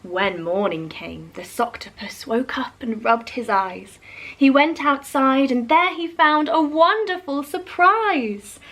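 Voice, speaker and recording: A woman reads a rhyming children's story in a high, very expressive voice. She takes her time and speaks as if to young listeners. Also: slow, rough recording. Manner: animated, addresses young listeners. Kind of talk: expressive read-aloud of a rhyming picture book.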